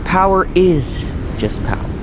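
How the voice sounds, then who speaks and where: A man playing a proud, battle-hungry warrior in a video game, speaking in a theatrical, deliberate and defiant way. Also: high-pitched, animated, background noise. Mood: cool defiance. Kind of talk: dramatic video game voice acting.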